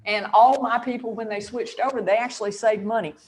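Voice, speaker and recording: A woman's voice: a little high, steady tone, brisk, a little mellow, a little hiss or hum. A woman in her sixties speaking candidly and emphatically on a panel about her career. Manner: emphatic and candid, laughs between points. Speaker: real estate agent on a panel. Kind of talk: panel talk, personal story.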